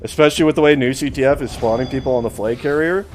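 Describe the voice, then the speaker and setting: A man doing live play-by-play as an esports shoutcaster. He is losing his voice and bursts into excited shouting on big plays. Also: medium pitch, racing, noisy background.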